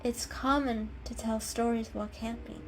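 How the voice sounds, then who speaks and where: A woman's voice: on the high side, fairly even intonation, moderate pace, slight background noise, natural voice. A woman voicing a bookish female cat in a storybook, polite, proud and a little bashful.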